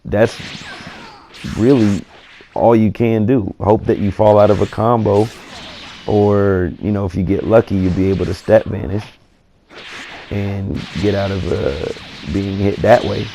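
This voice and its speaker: A man getting over a cold, his voice scratchy and gravelly, giving laid-back, opinionated commentary on a video game. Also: fairly low pitch, steady tone, measured pace, long pauses, mellow. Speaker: gaming commentator. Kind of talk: casual gaming commentary.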